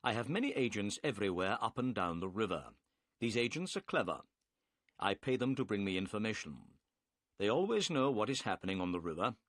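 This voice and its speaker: A calm, clever male detective, polite and quietly sure of himself, as a male reader plays him. His lines come brisk and assured, pitch moving in wide sweeps as in a storybook reading. The recording is dry and close. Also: dry recording. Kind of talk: audiobook character voice.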